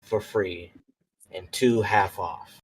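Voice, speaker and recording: A man playing a tabletop roleplaying game, bargaining in character in an insistent, deliberate way. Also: sits low, fairly even intonation, breathy edge, rough recording.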